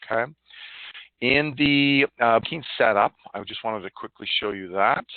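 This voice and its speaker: A man giving a live online software demonstration, explaining informally and haltingly over a conference call. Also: mid-range voice, no echo. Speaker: software demo presenter. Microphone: phone or web conference call. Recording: narrow phone-like line.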